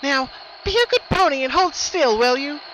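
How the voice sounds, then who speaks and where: A twisted female pony who delights in cruelty, in a dramatic storybook-style reading. Her voice is high and bright, cheerful and sing-song with playful menace. There is faint noise in the recording. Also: animated, moderate pace, rough recording. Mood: sweetly menacing. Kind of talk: dramatic fan fiction reading.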